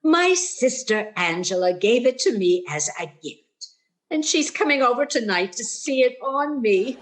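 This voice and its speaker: A woman's voice: a little high, sing-song, unhurried. An actress playing a chatty, blithe older lady with an airy, sing-song delivery in a radio sitcom. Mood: apologetic. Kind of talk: 1940s radio sitcom acting.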